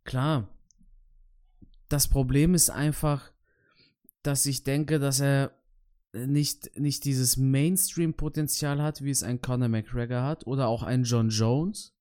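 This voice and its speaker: A man's voice: medium pitch, fairly even intonation, slow pace, warm tone, clear, resonant tone. A man co-hosting a casual podcast about martial arts, talking in loose chat with lots of fillers and restarts. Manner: hesitant, many fillers and restarts. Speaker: podcast co-host.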